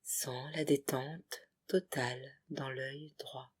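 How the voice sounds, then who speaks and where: A yoga nidra guide leading a relaxation in short, separated phrases, soothing and hushed, with a breathy voice that at times nears a whisper. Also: mid-range voice, fairly even intonation, slow pace.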